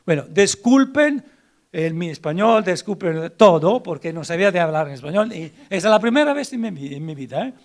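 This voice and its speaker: An Italian-accented man lecturing in a language not his own, stumbling and repeating words as he looks for them. His voice is slightly high for a man and gravelly with fry, and he punches key points with sudden lifts. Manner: halting, many repeated words and restarts, searching for Spanish words; animated, rising emphasis on key points. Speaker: lecturer on Islamic law. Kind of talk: academic lecture.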